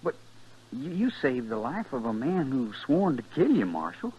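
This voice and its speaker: A man playing a naive, stubborn young cowboy with halting country speech in 1950s radio style. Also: medium pitch, sing-song, slightly rough. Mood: confused, halting. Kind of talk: 1950s radio western acting. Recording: muffled 1950s radio recording.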